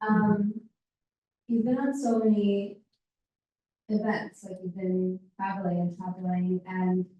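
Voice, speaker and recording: A woman's voice: medium pitch, little pitch movement, slow, highs cut off. A woman in the audience asking the author a question in a friendly, slightly hesitant way. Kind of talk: casual question at a live event. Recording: roomy hall picked up from far off.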